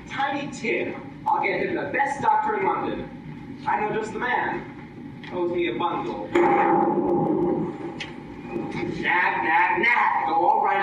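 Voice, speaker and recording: A man's voice: high voice, muffled recording. A man playing a wisecracking, pleading old miser in a comic old-time radio play. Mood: giddy joy. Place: live stage performance, picked up from afar. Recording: distant echoey stage recording with clear noise.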